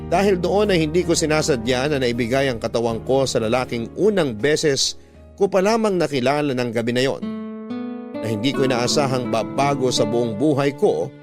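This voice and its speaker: A man narrates a scripted first-person drama story. His voice is mid-pitched, and guitar music plays clearly behind him. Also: expressive, moderate pace.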